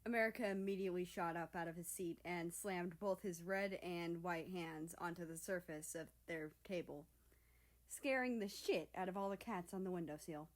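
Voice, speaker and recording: A woman reading a story aloud as narrator in an expressive, scripted style. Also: fairly low pitch, normal speed. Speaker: fan fiction reader.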